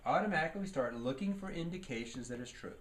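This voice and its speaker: A man's voice: on the high side, lively intonation, quick pace. A man giving a leadership training lecture, teaching by posing questions and answering them, with emphasis on key words. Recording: clearly noisy recording.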